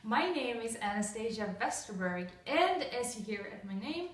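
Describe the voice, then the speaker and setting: A woman's voice: a little high, expressive, moderate pace. A young woman from Russia demonstrates a recipe in her home kitchen, chatting casually with lots of fillers and restarts. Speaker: cooking vlogger. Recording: echoey kitchen, voice far from mic.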